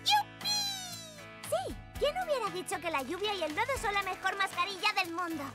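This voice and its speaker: A woman's voice: very high voice, big pitch swings, brisk. An actress voicing a bubbly young animal girl in a children's cartoon, with a Castilian Spanish accent. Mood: excited. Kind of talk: dubbed children's cartoon acting. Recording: music bed under studio cartoon dialogue.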